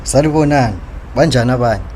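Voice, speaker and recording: A man's voice: mid-range voice, fast, warm tone, a little hiss or hum, close to an everyday voice. A man with a Zimbabwean accent playing a concerned, respectful, frank working man in a radio drama. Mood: friendly, concerned. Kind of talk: radio drama acting in Ndebele.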